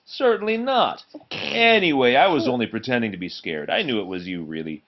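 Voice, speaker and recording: A proud little storybook engine, played by a man reading aloud. He sounds defensive and emphatic yet good-natured, his pitch jumping well up and swinging around. The sound is slightly dull. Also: expressive, brisk, lightly acted. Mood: indignant.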